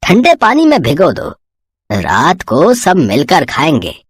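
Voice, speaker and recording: A woman voicing an easygoing older man in a cartoon, the father-in-law, who chides gently and sounds relaxed. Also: deep voice, takes it slowly, husky, no room sound, studio quality, full character voice. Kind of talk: cartoon voice acting.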